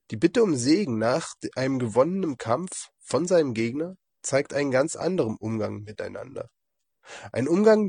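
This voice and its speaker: Male lay preacher, hoarse and husky from a cold, mid pitch, measured devotional read, dry close recording. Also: no echo. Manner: calm, measured reading. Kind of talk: devotional reflection.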